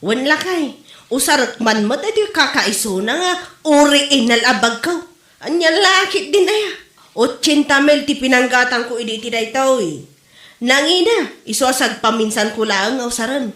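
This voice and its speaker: Woman acting a boastful indignant wife, Ilocano accent, higher-pitched voice, scolding comedy delivery, roomy reverberant sound. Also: lively intonation, normal speed, fairly bright tone. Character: proud, indignant wife boasting of her genuine luxury bag.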